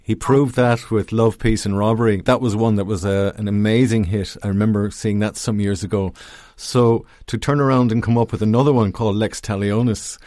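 A man's voice: on the low side, steady tone, a little fast, few pauses, a little mellow, no room sound. Wordy male arts host, close and dry. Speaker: arts radio show host. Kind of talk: conversational interview and introduction.

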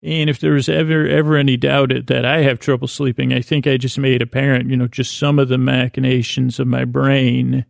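A man with a creaky, gravelly voice hosts a bedtime podcast, rambling haltingly and interrupting himself as he digresses. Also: medium pitch, level tone, dry recording, studio quality. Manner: halting, digressive, with repeated stumbles and self-interruptions. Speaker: podcast host.

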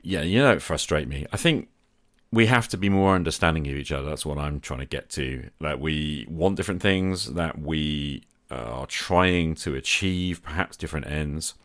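A man's voice: very deep voice, normal speed, fairly bright tone, dry recording, clean studio sound. A man hosting a podcast, talking conversationally in an earnest, reflective manner. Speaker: podcast host.

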